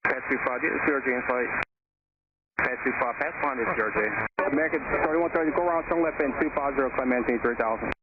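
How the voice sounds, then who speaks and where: A man's voice: medium pitch, fast talker, narrow, tinny audio. A man making clipped air traffic calls over a radio transmission.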